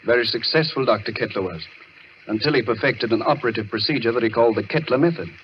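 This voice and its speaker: An actor playing an earnest, troubled sanitarium doctor who reasons patiently but anxiously in an old radio drama. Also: male voice, medium pitch, quick pace, natural voice. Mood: grave, explaining. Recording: muffled, noisy vintage radio recording.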